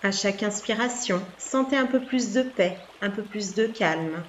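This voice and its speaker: A woman yoga nidra instructor giving soft guided relaxation instructions in a calm, soothing, unhurried manner with long pauses. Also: medium pitch, muffled recording, a little hiss or hum.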